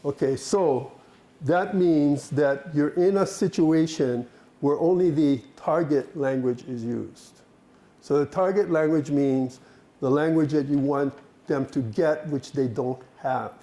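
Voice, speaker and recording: Man, workshop presenter, mellow tone, slightly high pitch, animated. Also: slow pace. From Hawaii. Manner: animated, laughs and asks the group questions. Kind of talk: interactive workshop talk.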